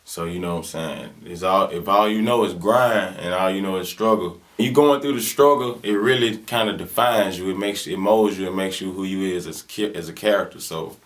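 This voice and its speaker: Deep-voiced young Southern rapper. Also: male voice, fairly quick, few pauses. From Birmingham, Alabama. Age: 20s. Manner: laid-back slangy talk with frequent fillers. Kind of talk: casual interview answers.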